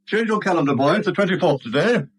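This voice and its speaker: Bossy senior clerk, high voice, swooping delivery, pedantic and testy, 1970s radio drama. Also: male voice, lively intonation, fast, slightly rough. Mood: scolding. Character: fussy, irascible male senior bank clerk, scolding juniors.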